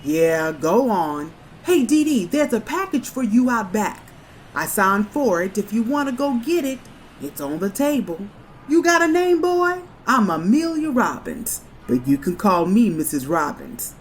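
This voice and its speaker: A woman's voice: mid-range voice, very animated, unhurried, slight background noise. A woman playing a blunt, folksy, protective middle-aged shopkeeper in a comic dub. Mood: brisk, teasing.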